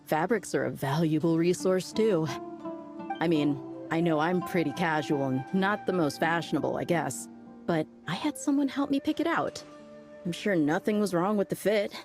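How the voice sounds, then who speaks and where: An actress as a breezy desert mercenary woman from a video game. Her voice sits low and she talks in a loose, slangy, friendly way. Music plays clearly behind her. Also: normal speed, lightly acted. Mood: casual. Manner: casual, chatty, slangy. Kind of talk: video game character acting.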